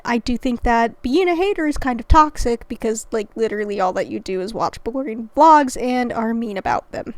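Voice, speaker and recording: A woman hosting a reaction video, talking in a rambling, self-interrupting and passionate way, clearing her throat as she recovers from an illness. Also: a little high, no room sound. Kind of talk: casual commentary.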